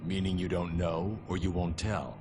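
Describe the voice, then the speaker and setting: A man playing a stern, terse masked vigilante hero in a video game, with loud music over him. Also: low voice, little pitch movement, moderate pace, background noise, close to an everyday voice. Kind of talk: video game dramatic acting.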